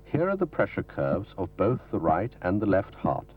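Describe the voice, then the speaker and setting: A man giving a formal, measured, didactic scripted narration for a medical training film. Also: deep voice, sing-song, slightly rough. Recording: dull lo-fi 1970s film soundtrack from videotape.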